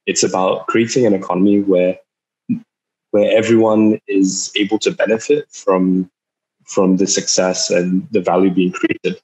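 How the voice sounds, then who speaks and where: A young man in his twenties from Singapore, a startup founder giving an online talk, speaking haltingly with many fillers and repeated words. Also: low voice, flat delivery, darkish tone, poor audio. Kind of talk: online talk over a video call. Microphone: video call.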